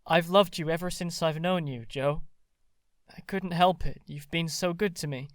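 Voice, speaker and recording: An actor playing an ardent, boyish young man in love in an audio drama, earnest and halting. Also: fairly high pitch, no room sound, studio quality, lightly acted. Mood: determined, urgent.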